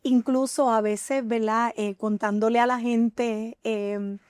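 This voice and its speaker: Earnest woman sharing her testimony, somewhat high. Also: steady tone, takes it slowly, darkish tone, clean tone, dry recording, clean studio sound. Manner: emotional, earnest, hesitant with many fillers. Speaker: guest. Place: TV studio.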